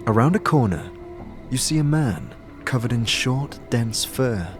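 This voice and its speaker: A man's voice: medium pitch, expressive, unhurried, music in the background. A man delivering scripted second-person narration as the narrator of a fantasy story.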